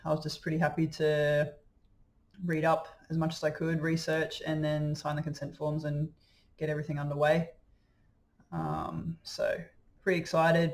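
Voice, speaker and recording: A man in his late twenties recording a casual video diary. His voice is gravelly, fairly high for a man, and stays on an even, flat line. He sounds easygoing and a little hesitant, thinking aloud as he goes. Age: late 20s. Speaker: vlogger.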